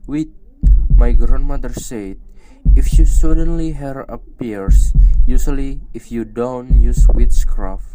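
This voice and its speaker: A worried, superstitious student acted by a man. He speaks very slowly in an amateur radio drama, with prominent music underneath. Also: medium pitch, close to an everyday voice, low-quality recording. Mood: ominous.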